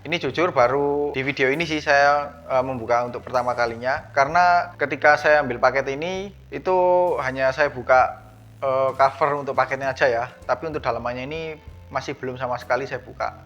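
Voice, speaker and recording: A man's voice: mid-range voice, brisk, a bit bright. Man, lo-fi casual unboxing narration. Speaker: unboxing vlogger. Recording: dull lo-fi sound, music behind. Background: background music.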